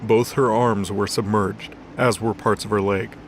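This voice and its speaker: A man's voice: lowish voice, fairly even intonation, measured pace, slight background noise. A man reading scripted story narration for an audio drama.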